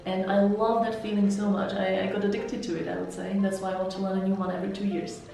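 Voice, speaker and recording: A woman with a Slovak accent explains her approach in a measured way, letting phrase ends fall softly. Her voice is mellow and dark. She sits some way from the mic in a very echoey room, with faint music underneath. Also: mid-range voice, level tone, vocal fry, far from the mic. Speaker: language mentor and interpreter. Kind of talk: interview answers.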